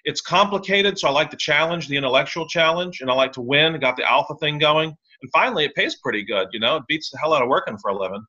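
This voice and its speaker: A man's voice: a little high, fast talker, few pauses, sharp tone. A man being interviewed as a tax and real estate lawyer on a podcast, talking in a blunt, opinionated, wry way. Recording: slightly dull lo-fi sound.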